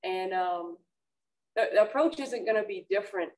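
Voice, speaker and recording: A woman softball coach answering press questions at a table, speaking plainly and repeating words as she thinks. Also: mid-range voice, normal speed, distant mic. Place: press room, seated at a table.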